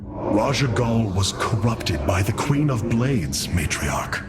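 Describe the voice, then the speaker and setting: An actor playing a noble, resolute alien warrior leader in a sci-fi game cutscene, speaking formally and with solemn authority. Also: male voice, lowish voice, very animated, slow pace, over loud music. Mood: earnest, solemn. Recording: voice under very loud score.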